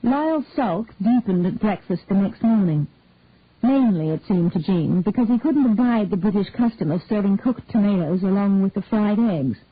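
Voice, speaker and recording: A woman reading a detective story aloud in a measured, unhurried way. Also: medium pitch, lively intonation, no echo. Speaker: reader. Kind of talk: scripted narration of a detective story. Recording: husky, tinny, dry phone-like sound.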